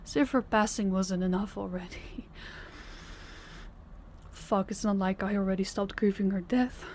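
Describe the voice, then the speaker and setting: A young woman voicing a tired, tender working girlfriend in an audio roleplay, speaking softly and intimately, often close to a whisper. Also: medium pitch, moderate pace, slight background noise, lightly acted. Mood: grieving, drained. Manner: soft-spoken, intimate, often near a whisper. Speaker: voice actor.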